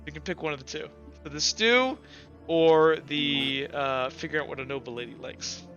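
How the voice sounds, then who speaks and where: Man, game master, bright forceful voice, casual halting improvised narration, dull lo-fi sound, music underneath. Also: a little high. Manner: casual, hesitant, loosely improvised delivery with frequent "like" and "kinda". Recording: dull lo-fi audio with music behind. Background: background music.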